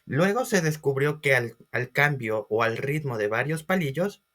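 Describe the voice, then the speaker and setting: A man presenting an informal online class as a teacher, expressive and speaking directly to his listeners. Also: mid-range voice, normal speed. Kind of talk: informal educational lesson for video.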